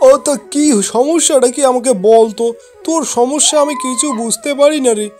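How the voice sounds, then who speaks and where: Casting: performer as fussy, fearful village father for animation, repetitive scolding, clear music backing. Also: high-pitched, fairly quick, natural voice. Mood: impatient. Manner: repetitive, rustic scolding talk. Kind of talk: amateur cartoon voice acting. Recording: loud music behind the voice.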